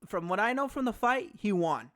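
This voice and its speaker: A man with a fairly high voice rambles through casual podcast talk. He swears often and circles back to repeat his points. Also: lively intonation, normal speed, a bit bright. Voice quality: high-pitched voice. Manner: profane, rambling, emphatic. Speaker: podcast co-host.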